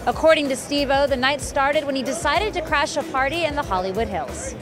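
A woman's voice: high-pitched, brisk, crowd noise. A woman hosting an entertainment news piece, reading a scripted narration. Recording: music behind the voice. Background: background music.

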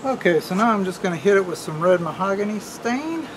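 Middle-aged man, higher-pitched voice, casual demonstration. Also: expressive, brisk, noisy background. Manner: casual, explanatory. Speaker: host of a radio restoration channel. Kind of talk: hands-on demonstration to camera. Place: outdoors on a wooden deck or driveway, also at a workbench.

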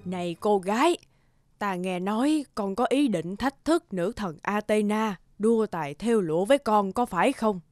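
A woman voicing a kindly, grave old woman in an animated fairy tale, speaking in a solemn, admonishing way. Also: medium pitch, moderate pace, soft background music, close to an everyday voice.

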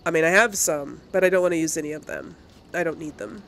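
A woman's voice: fairly low pitch, fast, vocal fry, fairly bright tone, slight background noise. A woman giving casual, chatty gameplay commentary to her viewers, often thinking aloud. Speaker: host of a video game let's play series.

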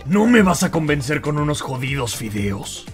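A man playing a hot-tempered, foul-mouthed teenage hero student in a fandub, raspy and aggressive. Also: a little high, big pitch swings, normal speed, loud music behind the voice. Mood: furious. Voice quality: raspy, gravelly. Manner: aggressive, explosive.

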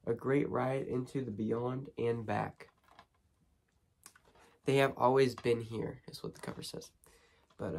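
A teenage boy talks loosely and unscripted, full of ums and false starts. His voice is hoarse from a cold and has a gravelly, creaky edge. A faint noise sits under the recording, made in a small bedroom close to the camera. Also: mid-range voice, a little mellow. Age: teens. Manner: rambling, lots of ums and restarts. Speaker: horror movie vlogger. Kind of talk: casual unboxing chat. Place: small bedroom studio with shelves.

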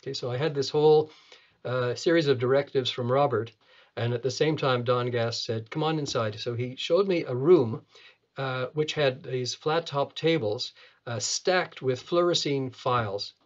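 A man in his seventies from Canada, a retina surgeon reminiscing in an interview, halting and often repeating words as he goes. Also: mid-range voice, highs cut off. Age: 70s. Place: small clinic office, video call.

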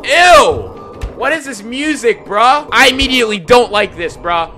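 Young dude yelling bro at a horror game, headset mic, music playing loud behind. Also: male voice, very high voice, unhurried. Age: young adult. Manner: slangy, excitable, jokey, shouts when scared. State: losing his voice. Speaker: gaming YouTuber. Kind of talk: reactive horror game commentary. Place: dark room at home.

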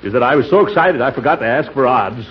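A man playing a pompous, animated old-radio uncle. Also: mid-range voice, fast talker, lightly acted. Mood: self-important. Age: middle-aged. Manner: theatrical, blustery, chuckling. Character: pompous, chuckling, vain middle-aged uncle. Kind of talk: 1940s radio comedy acting. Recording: muffled, noisy 1940s radio, audience behind.

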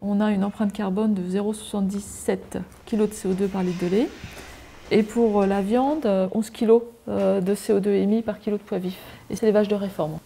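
A woman's voice: medium pitch, steady tone, fairly quick, vocal fry, warm tone, slight background noise. A woman, a farm adviser explaining audit results methodically at a farmhouse kitchen table, with many fillers.

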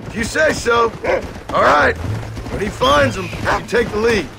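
An actor playing a gruff, patient Old West father in a video game, with a gravelly rural American Western accent and a steady, terse, folksy manner. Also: very high-pitched, unhurried, background noise, heavily acted. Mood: instructing. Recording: music behind the dialogue.

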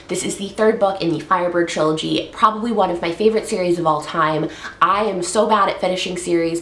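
Low, stuffy-voiced young woman book vlogger. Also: creaky, a bit bright. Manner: chatty, upbeat, frequent fillers. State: congested from a head cold. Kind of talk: casual book review vlog. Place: bedroom, indoors. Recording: echoey room.

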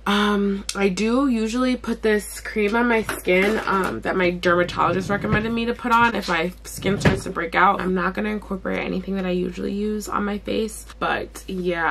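A young woman with a raspy voice chatting casually through a skincare vlog in her bedroom, talking while she applies products. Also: mid-range voice, fairly even intonation, normal speed, few pauses, fairly bright tone, a little hiss or hum. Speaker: beauty vlogger. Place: bedroom at home.

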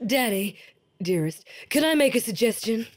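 A woman's voice: a little high, expressive, moderate pace, natural voice. A woman playing a wry young noblewoman in a live comedy drama, dry, knowing and sweetly persuasive. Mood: sweetly scheming. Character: wry, scheming young noblewoman, thane's daughter. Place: small theatre stage before an audience. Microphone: stand microphone.

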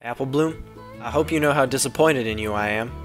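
A man's voice: mid-range voice, brisk, soft background music, lightly acted. A man voicing a stern, exasperated older-sister farm pony, lecturing in a parody cartoon.

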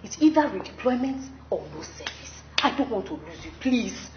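An actress playing an earnest, insistent young nurse in a TV drama, with a Nigerian accent. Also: female voice, on the high side, very animated, moderate pace. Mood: earnest, upset. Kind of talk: acted TV drama dialogue. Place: indoor clinic office and ward. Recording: noisy, muffled, echoey room.

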